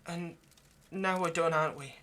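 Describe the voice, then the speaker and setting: Low-key pleading male vampire, acted by a man, noisy recording. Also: steady tone, pushed and bright. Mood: remorseful, pleading. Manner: halting, earnest, pleading, dropping to near-whisper. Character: male vampire, ageless, possessive yet remorseful, pleading lover. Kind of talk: intimate ASMR audio roleplay monologue.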